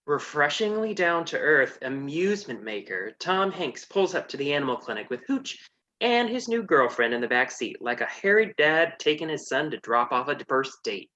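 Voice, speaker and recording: A woman's voice: low voice, big pitch swings, normal speed, a bit bright, muffled recording. A woman narrating a scripted comedy with wry sass. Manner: wry, sassy.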